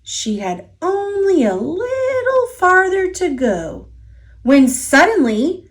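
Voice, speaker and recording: A woman reading a children's picture book aloud as a storyteller, expressive and deliberate, drawing out key words. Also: extremely high pitch. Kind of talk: children's story read-aloud, scripted narration.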